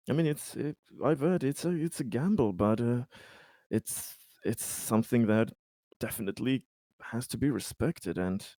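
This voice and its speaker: A man playing a polite, hesitant company man in a sci-fi tabletop game, speaking in halting, repetitive, formal phrases. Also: lowish voice, warm tone, dry recording, studio quality. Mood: polite, encouraging. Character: Conrad, polite, hesitant, optimistic company man at a space colony. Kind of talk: tabletop roleplay in character.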